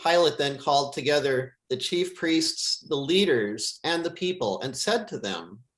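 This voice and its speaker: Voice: medium pitch, expressive, a little slow. A pastor reading scripture aloud dramatically for a worship service.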